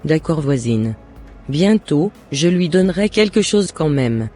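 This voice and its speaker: A man voicing a jealous, scheming mother and neighbour in an animated moral drama, with deliberate scripted phrasing. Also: a little high. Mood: falsely friendly. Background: faint music.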